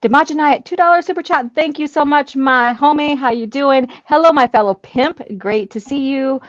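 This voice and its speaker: A woman's voice: sits high, normal speed, dry recording, muffled recording. A woman hosting a livestream, chatting informally and reading out viewer comments. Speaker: livestream panel host.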